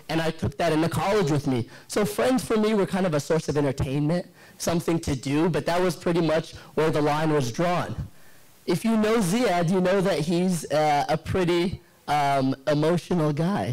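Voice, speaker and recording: A hoarse young man in his twenties giving a rambling, joking wedding speech into a handheld mic in a banquet hall. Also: on the high side, normal speed, darkish tone. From Yemeni descent. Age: 20s. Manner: rambling, self-deprecating storytelling with many fillers. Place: large banquet hall, indoors. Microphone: handheld microphone.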